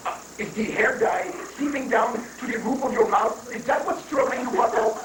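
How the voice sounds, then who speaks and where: A man's voice: extremely high pitch, lively intonation, normal speed, fairly bright tone. A man playing a glib, unruffled guru who hands out mock-wise reassurance in an amateur reading of a stage satire. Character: guru counselling troubled government officials, unruffled and glib. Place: room at a live library reading. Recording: distant, echoey room recording with faint noise.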